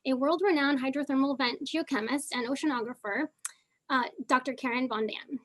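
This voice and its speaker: A young woman originally from Argentina, speaking with a Southern California accent. Her voice sits high as she speaks, and she talks casually, with plenty of ums and uhs. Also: a little fast. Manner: casual, many fillers. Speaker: panelist, geochemist. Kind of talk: online panel talk.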